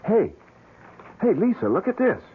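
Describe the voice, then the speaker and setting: Excitable young radio-drama boyfriend. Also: male voice, sits high, a little slow, slightly rough, full character voice. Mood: playful. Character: eager young camera enthusiast, puzzled and excitable boyfriend. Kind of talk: old-time radio drama acting. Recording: muffled vintage radio broadcast, faint noise.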